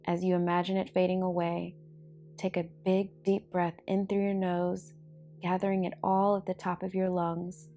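Low, creaky, mellow woman guiding meditation. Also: faint music behind. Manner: calm, soothing, measured delivery. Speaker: podcast host and meditation guide. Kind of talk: guided meditation narration.

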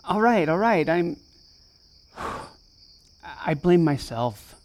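A woman's voice: lowish voice, lively intonation, drawn-out words, darkish tone. A woman acting in a fiction podcast as a devoted, protective advocate who blames herself, earnest and wry in manner. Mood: subdued, guilty. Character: Feeny, devoted, self-blaming advocate with protective fire.

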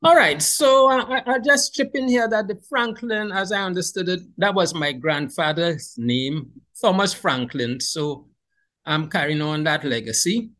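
A middle-aged man from Trinidad and Tobago with a Trinidadian accent, telling a warm, animated life story as a podcast guest. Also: a little slow. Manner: animated, rises in pitch when excited. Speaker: guest beekeeper. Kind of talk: storytelling conversation.

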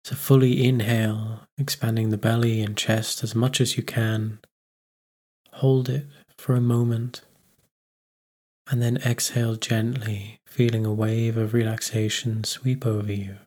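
A man with a fairly low, husky voice narrates a guided meditation. His delivery stays very flat and even. He gives gentle instructions and leaves unhurried pauses between phrases.

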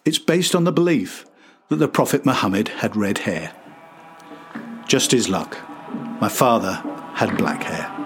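A middle-aged man with a husky voice, reading scripted documentary narration as a podcast host. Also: fairly low pitch, expressive, measured pace, long pauses, over music.

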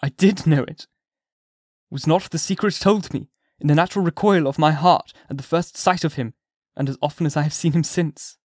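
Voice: mid-range voice, moderate pace, dry recording, studio quality, pitched above the performer's own voice, close to an everyday voice. A reader voicing a guilt-stricken male minister who speaks in grieving, old-fashioned phrases. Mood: anguished. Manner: grieving, archaic speech. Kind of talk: audiobook character voice.

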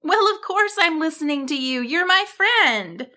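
A small, friendly storybook bird, voiced by a woman. She chirps along agreeably in a raised, bright voice. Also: high voice, normal speed, voice pushed up, heavily acted. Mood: happy, warm.

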